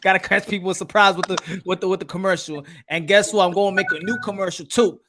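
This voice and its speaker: A man's voice: high-pitched, steady tone, fast, very noisy. A man hosting a casual boxing podcast chat, laughing often and restarting his sentences. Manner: laughs a lot, stammering restarts. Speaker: podcast host.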